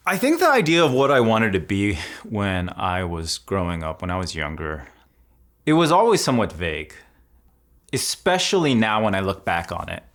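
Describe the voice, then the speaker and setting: A man's voice: sits low, fairly bright tone. A man, reflectively telling his life story in an interview, casual and thoughtful. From United States (Texas). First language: Korean. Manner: thoughtful, casual, with restarts and "like" fillers.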